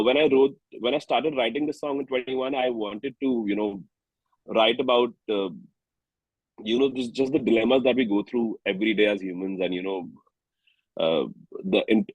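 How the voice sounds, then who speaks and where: A man with an Indian accent speaking reflectively as an interviewed singer-songwriter, haltingly and often rephrasing himself. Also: fairly low pitch, breathy edge, dry recording, highs cut off. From New Delhi, India.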